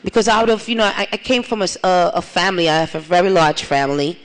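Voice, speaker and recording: A woman's voice: fairly low pitch, quick pace. A middle-aged woman from Trinidad giving an earnest, testimonial conference speech with repeated phrases. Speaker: conference speaker. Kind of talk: plenary speech. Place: large conference hall. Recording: reverberant hall.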